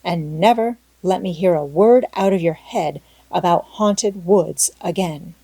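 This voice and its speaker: A woman as a stern, mellow older woman. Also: fairly low pitch, measured pace, creaky, slightly rough, no echo, exaggerated voice. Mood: firm scolding. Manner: firm, scolding, no-nonsense. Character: stern, practical, unsentimental older farm woman. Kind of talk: storybook character voice in audiobook.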